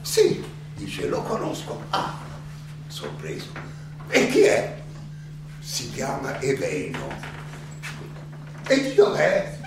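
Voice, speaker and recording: A distant, very echoey recording with clear background noise carries an old Athenian philosopher on trial. His voice is high for a man and gravelly. He speaks in a theatrical monologue, hesitating and repeating himself with wry irony. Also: full character voice. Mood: storytelling, sly. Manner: halting, hesitant, ironic, with repetitions and chuckles. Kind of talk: theatrical stage monologue, conversational oratory.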